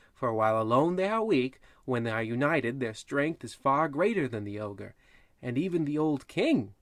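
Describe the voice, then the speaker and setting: A suave old-time radio host played by a man, with a medium pitch in a dry studio sound. He narrates in an arch, mock-formal way, coy with his listeners. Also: fairly bright tone, no echo, lightly acted. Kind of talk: parody of old radio announcing.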